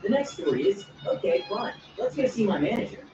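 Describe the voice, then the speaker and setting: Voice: mid-range voice, big pitch swings, a little fast, over music. A text-to-speech voice reading online stories aloud, spelling out abbreviations. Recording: distant echoey speaker audio, dull and noisy.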